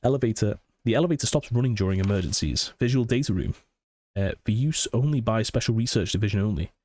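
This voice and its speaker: A man's voice: lowish voice, darkish tone. A man with a cold and a sniffly voice, casually commentating a horror game and reading its notes aloud. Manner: chatty, thinks aloud, reads text slowly. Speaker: let's play gamer. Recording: faint game music behind.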